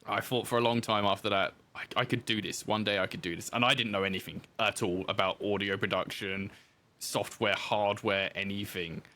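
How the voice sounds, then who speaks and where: A man speaks as a guest in a relaxed podcast interview. His voice sits fairly low but has a very bright, forward edge. He talks informally and makes light of himself as he tells his story. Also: fairly quick. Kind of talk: casual interview chat.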